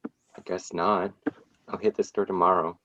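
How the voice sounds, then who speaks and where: A man playing a low-key son in a screenplay table read, on a slightly dull microphone. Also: little pitch movement, unhurried, fairly bright tone. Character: low-key son talking with his mother.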